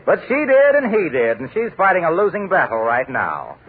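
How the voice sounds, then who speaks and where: Male announcer, 1940s ad read, slightly husky, lively; tinny old broadcast. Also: fairly high pitch, moderate pace, a little hiss or hum. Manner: smooth, polished announcer delivery. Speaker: radio announcer. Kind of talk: 1940s radio commercial and show introduction.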